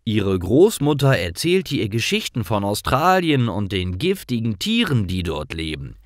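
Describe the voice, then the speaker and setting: A man reading scripted stories for language learners in careful, clearly separated short phrases. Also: on the low side, sing-song, a little slow, no room sound, studio quality. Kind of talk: scripted story narration for German learners.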